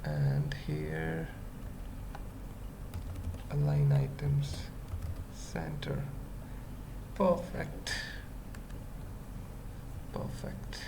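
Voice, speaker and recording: A tutorial presenter thinking aloud in halting, murmured fragments during an informal coding screencast. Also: mid-range voice, wildly swooping pitch, vocal fry, background noise. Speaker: web development tutorial presenter.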